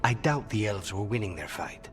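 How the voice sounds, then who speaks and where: A low male game character voiced by a man, brooding and hard-edged. He speaks bluntly and with distrust while music plays clearly underneath. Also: animated, normal speed, close to an everyday voice. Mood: sober. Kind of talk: video game cutscene acting.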